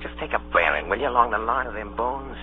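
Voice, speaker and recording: An actor playing a sly, scheming old ringleader in a radio drama. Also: male voice, medium pitch, lively intonation, fast talker, close to an everyday voice. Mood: scheming, grim. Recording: old tinny radio broadcast, music behind.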